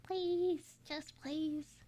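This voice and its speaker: A woman's voice: sits high, fairly even intonation, drawn-out words, warm tone, voice pushed up. A woman voicing a whimpering, submissive young man from fan fiction, begging in a whiny way. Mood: desperate pleading.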